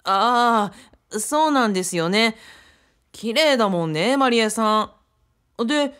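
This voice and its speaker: A woman's voice: a little high, lively intonation, measured pace, fairly bright tone, smooth. A woman playing a curious, supportive young man in a radio drama, casual and encouraging.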